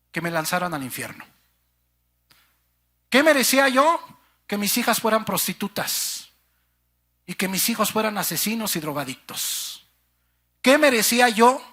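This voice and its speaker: A man preaching with fervor, his high voice leaping up and down across wide swings. His tone is bright and a little husky. He speaks slowly and deliberately, turning often to his listeners as brother and sister. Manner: impassioned, swinging from shouts to hushed low phrases. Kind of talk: sermon.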